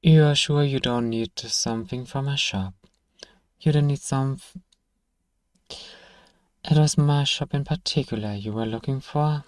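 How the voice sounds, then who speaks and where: A man acting as a gruff, sarcastic, reluctant apothecary shopkeeper in a fantasy ASMR roleplay, grumbling dryly straight at the listener. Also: medium pitch, measured pace, pauses often, no room sound, lightly acted. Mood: dry, grudging. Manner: grumbling, dry sarcasm, sighing, addresses listener directly.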